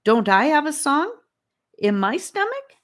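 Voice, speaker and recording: Woman playing an insistent little boy, high. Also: very animated, a little slow. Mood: grave. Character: self-centered eager young boy.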